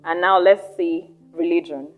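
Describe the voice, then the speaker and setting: A young woman from Nigeria hosting a casual podcast chat, animated and chatty, often trailing off and restarting her thoughts. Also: lowish voice, measured pace, soft background music. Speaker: podcast host.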